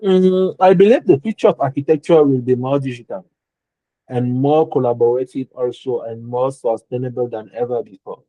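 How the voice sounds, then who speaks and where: Young cameroonian guy, french accent, warm mellow voice, dry sound, picks his words slow. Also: medium pitch, no echo, poor audio. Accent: French-speaking Cameroonian. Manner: careful, searching for words, repeats phrases. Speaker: architect guest. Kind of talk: podcast interview answers.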